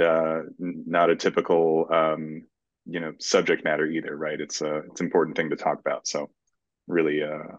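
A middle-aged man speaking in a halting, measured way as a screenwriter in a casual interview over a video call. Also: a bass voice, dry recording. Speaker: screenwriter guest. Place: room at home, indoors. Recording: dull, muffled video call audio.

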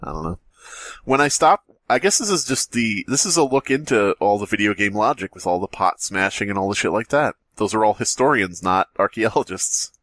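A man's voice: fairly low pitch, animated, fairly quick, fairly bright tone, dry recording. A man hosting a comedy podcast, reading aloud and joking in a sarcastic, sweary way. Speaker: podcast host. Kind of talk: comedic read-aloud and banter.

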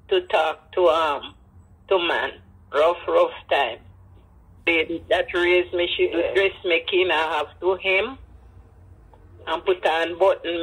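An elderly woman with a Jamaican accent and husky voice, telling personal stories with emphatic repetition and Creole phrasing. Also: on the low side, low-quality recording. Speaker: interviewee, grandmother. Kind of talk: personal storytelling in an interview.

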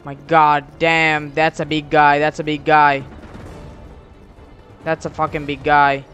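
A young man giving casual gameplay commentary in an excitable, slangy, profane way, speaking into a headset. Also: on the high side, slow pace, soft background music. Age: young adult. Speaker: gamer, YouTube host. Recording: clear game gunfire noise behind voice. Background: shooter game gunfire and clicks.

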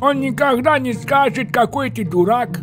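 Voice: high-pitched, normal speed, music in the background, lightly acted. A performer voicing a cocky, whiny talking cat in a colloquial, complaining comic pet dub. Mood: deadpan musing.

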